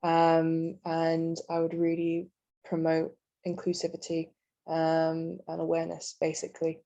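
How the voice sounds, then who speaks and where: A young woman standing as a student candidate, answering on a video call in a halting way with many ums. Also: low-pitched, monotone, mellow, highs cut off. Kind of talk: answering a hustings question.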